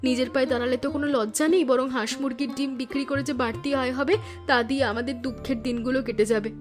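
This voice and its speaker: A woman voicing an earnest, determined young daughter-in-law in an animated village family drama. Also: high-pitched, racing, faint music behind, natural voice. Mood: earnest, resolute.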